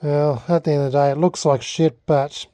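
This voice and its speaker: A man's voice: fairly high pitch, steady tone, moderate pace, warm tone, no room sound, clean studio sound. A man with an Australian accent talking casually and unscripted in a hobby restoration vlog, hesitant, laid-back and self-deprecating. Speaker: vlogger.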